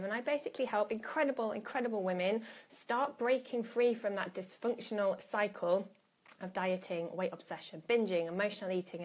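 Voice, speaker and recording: A woman in her thirties chats to her viewers on a live stream, greeting them by name as they join. She talks casually and often restarts her phrases, recorded on a phone or laptop at home. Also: mid-range voice. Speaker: coach hosting a live stream.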